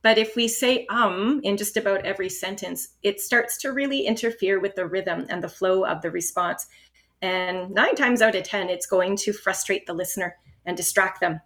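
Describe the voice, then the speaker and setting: A woman from Canada explaining speaking-test strategies in an encouraging, steady instructional manner. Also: medium pitch. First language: English. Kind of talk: instructional podcast talk.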